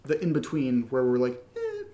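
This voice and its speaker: A man's voice: medium pitch, wildly swooping pitch, normal speed, warm tone. A young man co-hosting a podcast, chatting casually and jokingly as he takes listener questions. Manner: playful, joking, informal. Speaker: podcast co-host, actor and writer.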